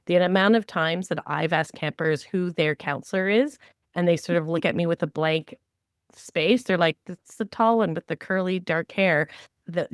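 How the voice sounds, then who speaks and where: A woman's voice: sits low, clean tone, dry recording, clean studio sound. A woman co-hosting a podcast, giving conversational advice with emphatic, repeated phrasing. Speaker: podcast co-host. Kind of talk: conversational advice on camp staff training.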